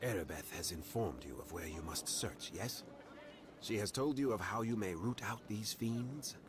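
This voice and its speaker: An actor playing a grave, formal man who gives out quests in a fantasy game, with measured, old-fashioned phrasing. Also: fairly low pitch, expressive, close to an everyday voice. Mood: grave, earnest. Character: grave, formal man directing a hunt for cultists. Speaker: quest-giving game character. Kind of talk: scripted fantasy game dialogue.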